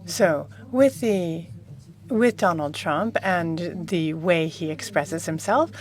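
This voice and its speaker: A woman working as a conference interpreter, rendering a panel discussion in a measured, formal first-person voice from a booth microphone. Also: on the low side.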